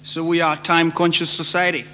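A man from Haiti with a Haitian accent, preaching in short phrases with frequent halting pauses for an interpreter. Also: on the high side, flat delivery, bright, forceful tone. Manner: halting, pausing often. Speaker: guest preacher. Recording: noisy echoey hall with hum.

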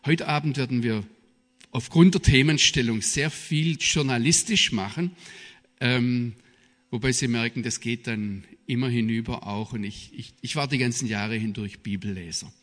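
A middle-aged man with a southwest German accent giving a public lecture in an emphatic, deliberate manner. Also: mid-range voice, a bit bright. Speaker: guest speaker, journalist and theologian. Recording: reverberant hall, long echo.

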